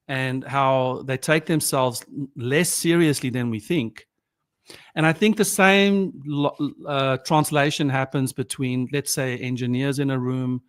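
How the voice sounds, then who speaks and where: A man's voice: mid-range voice, a bit bright, clean tone. A man from South Africa, native Afrikaans speaker, in his forties or fifties, a podcast guest talking casually and reflectively with many restarts. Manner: reflective, halting with frequent restarts. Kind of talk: casual conversation.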